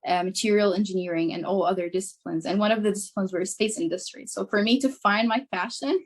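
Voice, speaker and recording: A woman's voice: medium pitch, fairly even intonation, fast. A young woman with Arabic as her first language, from Syria, speaking as a guest engineer on a video call in an earnest, eager way. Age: young adult. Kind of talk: casual conversational answer.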